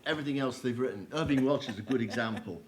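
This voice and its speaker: A man's voice: medium pitch, quick pace, over a noisy crowd. A man chairing a conference panel, talking with many fillers, restarts and "you know"s. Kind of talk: hesitant conference moderation.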